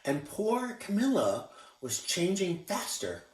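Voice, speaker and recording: Man, teacher, children's read-aloud, slow, expressive, echoey distant mic. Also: sits high, slight background noise. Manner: expressive, showing pictures as he reads.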